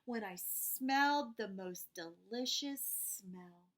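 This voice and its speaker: A woman's voice: a little high, expressive, takes it slowly. A woman voicing an eager, polite little boy in a storybook read-aloud. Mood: eager, delighted.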